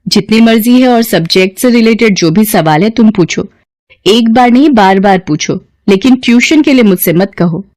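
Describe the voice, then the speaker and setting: A woman's voice: medium pitch, lively intonation, moderate pace, a little mellow, dry recording, natural voice. A woman voicing a female college professor in her thirties, caring but strict, with a questioning, chiding manner in an acted story.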